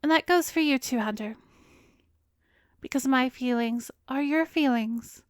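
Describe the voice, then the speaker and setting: Woman acting as arrogant vampiress, high female voice, slow savoring delivery, breathy dips into whisper, commanding, teasing, ASMR roleplay. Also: no room sound, clean studio sound. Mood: gloating, elated. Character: arrogant, cruel, seductive vampiress hypnotist savoring her prey. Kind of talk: ASMR roleplay audio drama.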